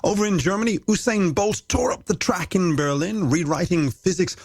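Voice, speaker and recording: A man's voice: high-pitched, moderate pace, breathy edge, no echo, clean studio sound. An Irish man presenting a scripted music radio show with playful, wordy, alliterative patter. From Ireland. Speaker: radio host.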